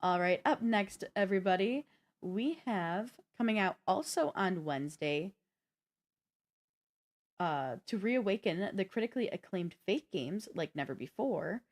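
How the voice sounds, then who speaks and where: A woman's voice: medium pitch, lively intonation, measured pace. A woman co-hosting a gaming news show, talking to her audience in a casual, conversational way while partly reading from notes. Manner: conversational, addresses the audience directly.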